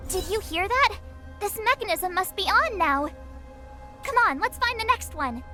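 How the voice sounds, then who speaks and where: A woman's voice: very high voice, big pitch swings, moderate pace, music in the background. A woman voicing a perky, cheeky young adventurer girl in a video game, with an exclamatory, teasing manner. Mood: curious.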